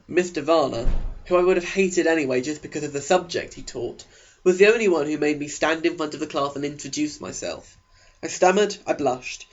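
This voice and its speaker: A man's voice: on the high side, muffled recording. A man narrating a mock audiobook with a sarcastic, exaggerated delivery.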